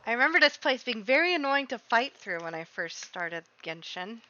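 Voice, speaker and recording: A woman's voice: a little high, sing-song, moderate pace, bright, forceful tone, muffled recording. A woman streamer giving casual commentary while she plays, muttering to herself in a playful, rambling way.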